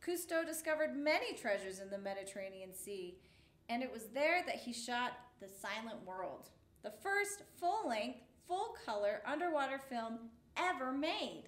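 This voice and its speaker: A woman reading a picture book aloud as a storyteller for children, with measured, expressive phrasing. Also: a little high, reverberant.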